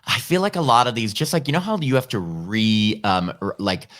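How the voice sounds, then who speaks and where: A man's voice: medium pitch, sing-song, moderate pace, a bit bright. A man in his forties with an American accent, talkative and casual as a podcast guest, explaining his views at length. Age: 40s. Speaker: podcast guest, horror fan. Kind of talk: casual movie discussion.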